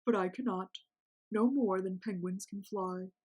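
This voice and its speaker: A woman's voice: medium pitch, measured pace, a little mellow, lightly acted. A woman voicing a majestic giant male albatross in a storybook, amused, indulgent and wry.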